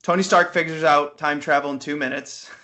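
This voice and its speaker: A man's voice: on the high side, fairly even intonation, brisk, sharp tone. A man co-hosting a podcast, giving informal, opinionated takes in a casual film discussion over an internet call. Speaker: podcast co-host. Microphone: Skype call.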